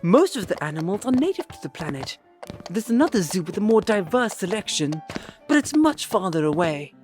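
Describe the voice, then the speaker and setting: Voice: mid-range voice, very animated, a little fast, soft background music, lightly acted. An actor playing a polite, eager alien host with formal, precise phrasing in an audio drama. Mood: eager, pleased.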